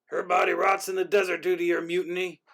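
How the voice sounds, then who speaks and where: A man's voice: sits high, flat delivery, fast, vocal fry, fairly bright tone, heavily acted. A man playing an imperious military commander, cold and dismissive, in a play reading. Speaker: amateur actor.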